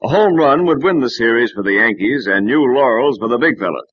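Worried American sportswriter, medium pitch, husky, earnest, old radio drama, muffled recording. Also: male voice, lively intonation, a little fast, dry recording. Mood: tense narrating. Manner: earnest, anxious. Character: loyal, worried male sportswriter friend, narrating. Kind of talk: old-time radio drama narration and dialogue.

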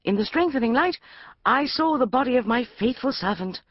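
Lady doing a bright young woman hamming up an adventure story, lively, dry dull sound. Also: fairly high pitch, normal speed, no room sound, pitched above the performer's own voice. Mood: dramatic. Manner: dramatic, heightened reading. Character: bold young woman reading melodrama aloud.

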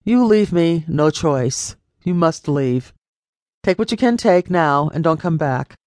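A woman's voice: sits low, expressive, slow, a little mellow, dry recording, studio quality. A woman voicing an angry wealthy father, raised and blustering with threats. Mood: furious.

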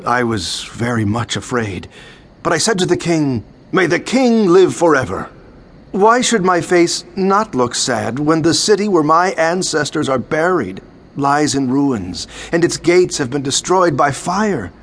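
A man's voice: on the high side, lively intonation, measured pace. A man playing Nehemiah, narrating in the first person with earnest, declamatory delivery in a dramatized Bible.

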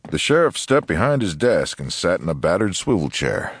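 A man's voice: low-pitched, sing-song, moderate pace, slight background noise. A man reading scripted narration for a dramatized western audiobook.

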